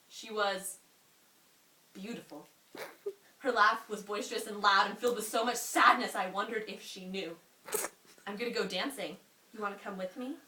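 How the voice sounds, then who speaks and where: A young woman reading a story aloud to a friend in an amused, expressive way, often breaking off for asides. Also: mid-range voice. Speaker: reader. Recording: roomy, distant mic with faint noise.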